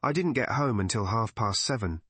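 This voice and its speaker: A man plays a talkative village chemist in a mystery audiobook. His voice is medium in pitch, recorded dry. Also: expressive, moderate pace, fairly bright tone, husky, dry recording. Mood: chatty. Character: chatty male village chemist.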